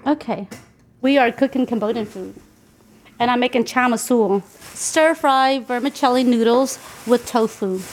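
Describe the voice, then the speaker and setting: A woman's voice: on the high side, normal speed, slight background noise. A woman in her forties chatting casually in a kitchen as she cooks, laughing often and explaining each ingredient. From United States. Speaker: guest cooking in a recorded segment. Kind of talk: casual chat while cooking. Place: home kitchen.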